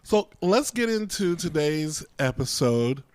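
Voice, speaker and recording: A man co-hosting a casual podcast, playful and teasing. His voice jumps up in pitch when he gets excited. The recording is dry and close, with no room sound. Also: takes it slowly, clean tone, no echo. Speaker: podcast co-host. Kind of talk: casual chat.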